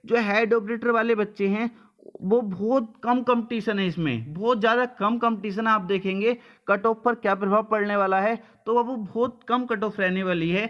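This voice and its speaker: A man's voice: high voice, moderate pace, fairly bright tone. A man giving an informal update to students as a coaching teacher, blunt and colloquial, often checking they follow with short tags.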